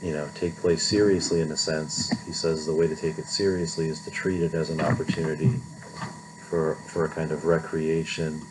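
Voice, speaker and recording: Middle-aged man, deep register, conversational lecturer, frequent check-in tags, faint background noise. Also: little pitch movement, normal speed. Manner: conversational, often checking with "right?". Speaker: philosophy lecturer. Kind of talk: informal recorded lecture.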